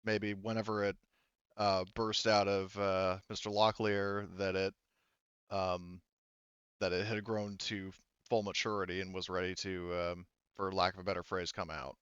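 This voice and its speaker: A man with a low, smooth voice plays a tabletop roleplaying game. He narrates casually and hesitantly, slipping into measured dialogue, on a dry but slightly dull recording. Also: flat delivery, fairly bright tone, no room sound.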